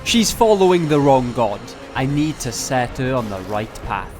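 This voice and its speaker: A man's voice: mid-range voice, big pitch swings, normal speed, music in the background. A man narrating a video game challenge run as scripted commentary, playful and mock-grandiose with jokey asides.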